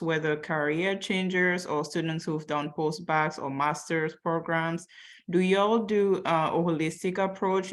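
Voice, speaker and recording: Low-voiced woman from cameroon, med student reading chat questions, chatty. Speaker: medical student moderator.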